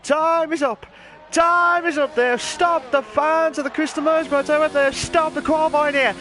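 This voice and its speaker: A man hosting and commentating an online racing league, his voice hoarse and nearly gone, talking in an excitable, chatty way. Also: very high voice, moderate pace. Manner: excitable, shouting during qualifying, then calm chatty standings rundown. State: hoarse, lost voice. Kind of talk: live esports race commentary.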